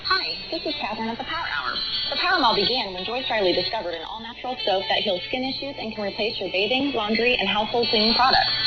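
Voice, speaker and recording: A woman with a slightly high voice reads a scripted radio promo at a quick clip. The broadcast is lo-fi, with loud music underneath. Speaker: radio advertisement spokesperson. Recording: dull lo-fi shortwave radio broadcast.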